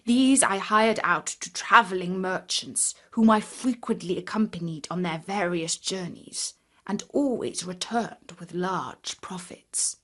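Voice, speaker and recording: Lady doing a humble blind beggar guy from a fairy tale, begging, slow and kinda breathy. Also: on the low side. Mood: recounting, solemn. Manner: formal, beseeching storybook speech. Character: humble, pleading old blind beggar man, penitent and persistent. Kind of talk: children's storybook reading.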